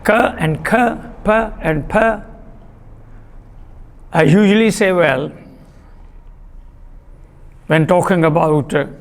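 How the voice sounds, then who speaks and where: A man giving a classroom lecture on literary theory, deliberate and repetitive, pausing with fillers. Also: on the high side, creaky, in a large hall. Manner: deliberate, repeats key terms, frequent uh fillers.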